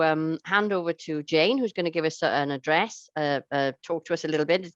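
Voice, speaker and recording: A Welsh woman opens an online conference session in a loose, chatty way, stopping often on fillers. Her voice sits low. The sound is dull and very dry, as from a video call. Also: no room sound. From Wales. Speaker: conference chair. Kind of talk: hesitant online conference introduction.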